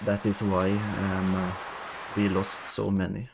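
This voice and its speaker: A man's voice: low-pitched, completely flat delivery, slow pace, background noise. A young man, chatting casually as he plays a video game with friends. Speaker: video game player in a co-op stream. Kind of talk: casual gaming chat. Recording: clear game music behind. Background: game music.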